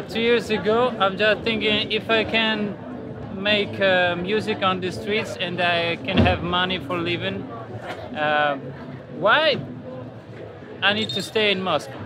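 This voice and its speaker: High-voiced Russian man on a noisy street. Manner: hesitant, searching for words. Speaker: street percussionist. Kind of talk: casual interview. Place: outdoors on a city street. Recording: street noise behind.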